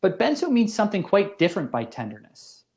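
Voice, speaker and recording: A man giving a scripted academic lecture as a professor, with deliberate, formal phrasing and careful emphasis. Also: high-pitched, wildly swooping pitch, darkish tone. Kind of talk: scripted philosophy lecture narration.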